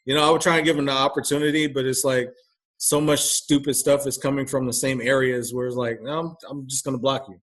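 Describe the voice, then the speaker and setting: A man's voice: medium pitch, level tone, fast, a bit bright. A man speaking as a guest in a casual conversation, talking bluntly and candidly, with many restarts and "you know" fillers. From grew up overseas in a military family. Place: lives in Texas.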